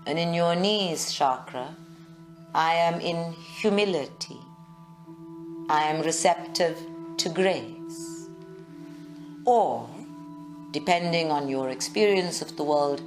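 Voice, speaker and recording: A woman guiding a live meditation in a measured, soothing way, with long pauses. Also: sits low, over music. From South Africa. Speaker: meditation guide. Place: group meditation session at a centre, recorded live.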